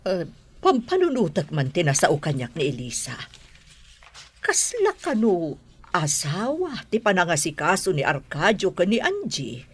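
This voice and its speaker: Woman acting a low, husky, disapproving mother. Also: wildly swooping pitch, measured pace, full character voice. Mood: worried, disapproving. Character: worried, disapproving mother of grown children. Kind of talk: Ilocano radio drama acting.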